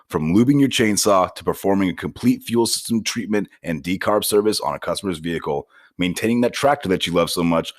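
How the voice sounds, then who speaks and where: Male host for sponsor read: low pitch, scripted, dry close sound. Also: dry recording. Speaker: podcast host reading a sponsor ad.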